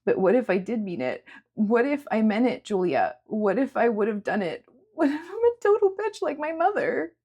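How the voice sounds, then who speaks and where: Woman acting tearful, self-loathing young woman, pitched high. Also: big pitch swings, moderate pace, darkish tone, husky, full character voice. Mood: pleading, upset. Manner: sobbing, pleading, self-accusing. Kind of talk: dramatic audiobook character acting.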